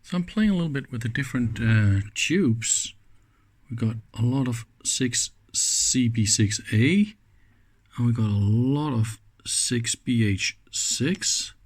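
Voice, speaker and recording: A man's voice: mid-range voice, animated, slow pace. A man giving casual, unscripted hands-on commentary as an electronics hobbyist, musing aloud in short exclamations. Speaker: electronics hobbyist presenting a teardown.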